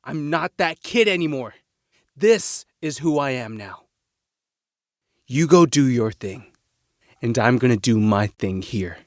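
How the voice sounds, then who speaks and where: A man's voice: medium pitch, expressive, slow, pauses often, dry recording, clean studio sound. A man playing an earnest, guilt-ridden teenage son in a naturalistic audio drama. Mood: firm, hurt.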